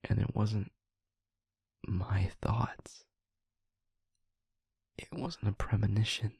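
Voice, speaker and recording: A man playing an anguished demon in an intimate audio roleplay, speaking haltingly and hushed, pleading with someone he loves. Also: lowish voice, level tone, no echo, full character voice. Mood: grave, hesitant. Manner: halting, hushed, breathy near-whisper, pleading. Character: anguished male demon confessing to a loved one.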